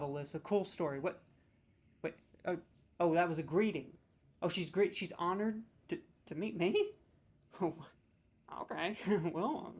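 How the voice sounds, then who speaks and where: A man in a comedic role, scoring lines with sarcastic, mock-serious, playfully exaggerated commentary. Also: high-pitched.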